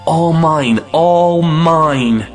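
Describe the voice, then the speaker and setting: A man's voice: on the high side, extremely slow, faint music behind. A man playing a hot-blooded, possessive suitor in an audio play, passionate and melodramatic.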